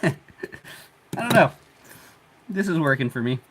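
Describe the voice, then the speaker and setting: A congested man hosting a livestream, chatting in a relaxed, rambling way while he works, chuckling often. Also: a little high, a little slow, slight background noise. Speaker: 3D printing livestream host. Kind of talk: casual livestream talk while working.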